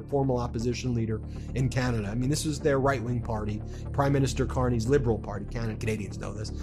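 A man commenting on the news and reading out posts, hesitant with fillers and restarts. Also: medium pitch.

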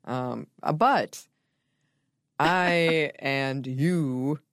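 A woman's voice: low voice, extremely slow, dry recording. A woman co-hosting a podcast in casual chat, with playful dry humor. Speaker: podcast co-host.

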